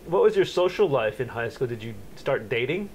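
A man's voice: medium pitch, sing-song, brisk, gravelly, a little hiss or hum, poor audio. A man of fifty interviewing his elderly father, asking short prompting questions with light teasing. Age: 50s. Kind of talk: oral history interview.

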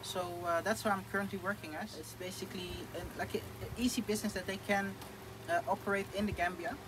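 A young man with a Dutch accent talks through his plans in a halting, filler-laden way. He sits in an outdoor thatched shelter, picked up by a camera a few meters off. Steady outdoor noise runs underneath. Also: high-pitched. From Netherlands. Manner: halting, many fillers and restarts. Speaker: guest. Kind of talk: casual interview.

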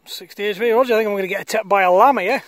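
A man's voice: high voice, rapid-fire, a bit bright, a little hiss or hum. A man with a Northern English accent, chatting casually and colloquially outdoors as an amateur radio operator. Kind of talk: casual chat over ham radio. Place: outdoors on a hilltop common.

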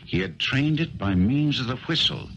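A man playing an assured, grave, analytical gentleman detective, explaining with authority in a radio drama. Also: medium pitch, lively intonation, normal speed, close to an everyday voice. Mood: assured, explanatory. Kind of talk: 1970s radio drama acting. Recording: dull, noisy 1970s radio recording.

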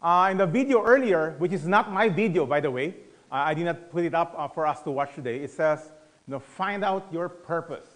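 A man's voice: on the high side, a little fast. A middle-aged man teaching a Bible study in a conversational, emphatic way, asking the audience questions. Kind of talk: sermon.

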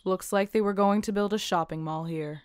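A woman's voice: mid-range voice, steady tone, normal speed, clean tone, no echo, lightly acted. A woman voicing a businesslike female police officer in an anime-style game dub, matter-of-fact.

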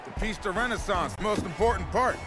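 An actor playing an encouraging, playful member of a football team in a video game cutscene. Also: male voice, high-pitched, a little fast, music in the background, natural voice.

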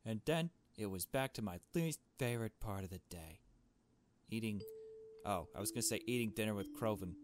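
A man's voice: on the low side, animated, fairly quick, slight background noise. A man hosting a gaming video, reading aloud casually with irreverent, teasing asides.

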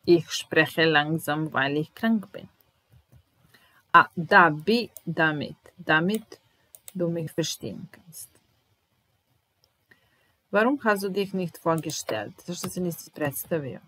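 A woman in her thirties with a Serbian accent, teaching German slowly, repeating each phrase while typing, in a small room at home. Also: deep voice, lively intonation, a bit bright, dry recording, low-quality recording. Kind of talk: slow, deliberate language lesson.

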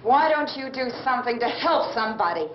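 An actress playing an anxious, sharp-tongued American wife in a 1960s horror film, speaking earnestly and pointedly. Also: female voice, on the high side, animated, brisk, close to an everyday voice. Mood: pointed, concerned. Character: anxious, sharp-tongued married woman trying to help. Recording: dull, noisy old film soundtrack.

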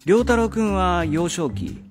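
An actor playing a condescending middle-aged male vice-principal in a radio drama, halting and officious, in a remembered-voice effect. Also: high-pitched, big pitch swings. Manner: halting, officious, with drawled hesitations. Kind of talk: Japanese radio drama acting. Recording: long reverb, music underneath.

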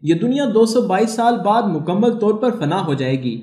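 A man reading a scripted documentary narration as a channel's narrator, in a formal, measured, evenly read manner. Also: sits high, sing-song, mellow. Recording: echoey room.

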